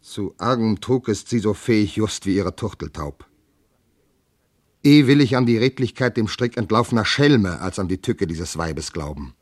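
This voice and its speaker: An actor playing a bitter, hot-tempered, jealous general and wronged husband, declaiming classical verse indignantly in a radio drama. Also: male voice, lowish voice, lively intonation, moderate pace, dry recording, clean studio sound. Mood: bitter, brooding.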